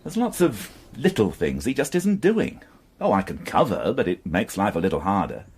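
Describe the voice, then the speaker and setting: An actor playing a wry, devoted police inspector in a radio drama, speaking with dry sarcasm. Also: male voice, lowish voice, wildly swooping pitch, normal speed, darkish tone, slightly rough, exaggerated voice. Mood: worried. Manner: dry, sardonic. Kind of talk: BBC radio drama acting.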